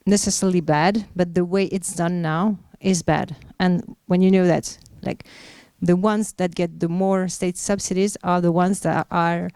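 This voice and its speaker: Woman exec fielding panel questions; low, mellow and smooth, halting with fillers. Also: fairly even intonation, no room sound, studio quality. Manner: hesitant, many fillers and restarts. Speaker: media executive answering questions. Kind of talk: panel Q&A answers.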